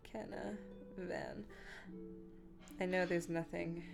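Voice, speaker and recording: A woman hosts a relaxed livestream in an unhurried, slow delivery, her voice slightly on the low side. She is silly and self-deprecating, drifting between chatting to viewers and muttering to herself as she works. Music with plucked guitar plays clearly behind her. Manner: playful, silly, self-mocking asides; mutters and hums to herself while working. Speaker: streamer and host. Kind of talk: casual livestream chat while editing. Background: background guitar music.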